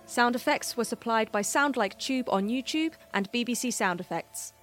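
Higher-pitched woman reading credits over music. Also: normal speed, fairly bright tone. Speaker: credits announcer. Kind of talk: scripted credits read.

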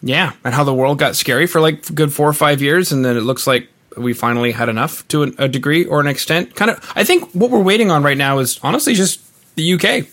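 A man hosting a podcast, chatting casually with his guests in a measured, deliberate way. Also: fairly high pitch, fairly bright tone. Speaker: podcast host.

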